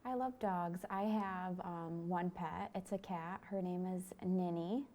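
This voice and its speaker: A woman's voice: lowish voice, fairly even intonation, measured pace, warm tone. A young woman from Michigan, asking friendly, upbeat questions in a casual interview chat. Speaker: student interviewer.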